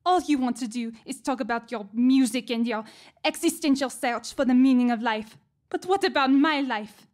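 A woman's voice: high voice, normal speed, a little mellow, natural voice. An actress playing a lonely, earnest young wife and mother in an audio drama, speaking with feeling. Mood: hurt, frustrated.

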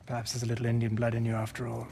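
An actor playing a calm, smug villain in a video game cutscene, taunting in a measured, theatrical way. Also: male voice, medium pitch, vocal fry, very noisy, close to an everyday voice. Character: menacing, smug male warlord, calm captor. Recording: loud music behind.

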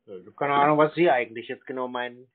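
A man's voice: mid-range voice, steady tone, fast. A man in a casual phone conversation, answering in a terse, unimpressed and contrary way. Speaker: scambaiter taking a sales call. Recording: narrowband phone sound.

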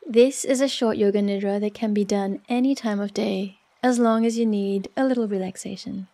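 A woman leading a guided relaxation meditation in a soft, soothing, unhurried manner with long pauses. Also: medium pitch, steady tone. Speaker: yoga nidra meditation guide.

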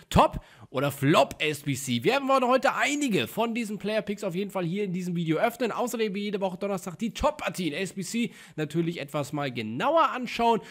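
A young man with a faintly sick voice hosts a gaming video from his desk. His pitch sits a little above most men's, and he talks in a chatty, upbeat way, full of small fillers. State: slightly sick voice. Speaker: gaming YouTuber. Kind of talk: casual gameplay commentary. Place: indoor room at a desk.

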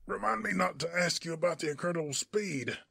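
A man voicing a plucky, wry male superhero with a gravelly, husky voice in an audiobook. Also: a little high, fairly quick, pushed and bright, no echo, studio quality, exaggerated voice. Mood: baffled, wry.

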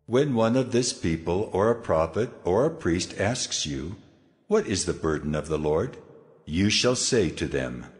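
Low-voiced man reading scripture solemnly. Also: lively intonation, unhurried. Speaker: Bible narrator. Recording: music behind the voice. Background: music.

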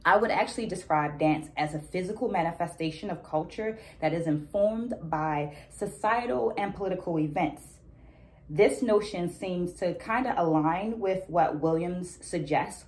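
A woman in her thirties giving a reflective, deliberate explanation of a book as a vlog host, talking to camera. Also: lowish voice, a little mellow. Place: small indoor room at home.

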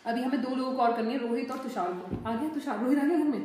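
A woman's voice: sits high, fast, darkish tone, soft background music. A woman in her twenties chatting casually to viewers as the host of a prank vlog. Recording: faint noise behind voice.

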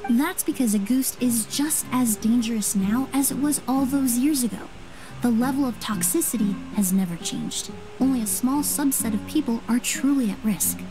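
Game role: poised young female investigator played by a woman, precise and explanatory, mid-high voice over clear music. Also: normal speed, natural voice, poor audio. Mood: composed, explanatory. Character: composed young woman perfumer-sleuth explaining a poisoning. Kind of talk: scripted game dialogue. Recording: music behind the voice.

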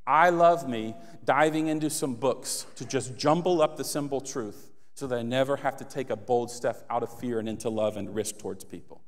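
A man in his thirties preaching a passionate sermon on a church stage, speaking into a handheld mic and rising to emphatic appeals. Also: mid-range voice. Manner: passionate, builds to emphatic exhortation. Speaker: pastor. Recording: long hall reverb.

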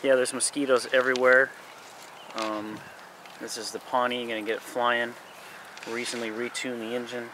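A man's voice: mid-range voice, moderate pace. Joking man filming at a dawn airfield. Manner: relaxed, joking commentary. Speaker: vlogger filming model plane flights. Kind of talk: casual chat. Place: outdoor grass airfield at dawn. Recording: outdoor camera audio with noticeable background noise.

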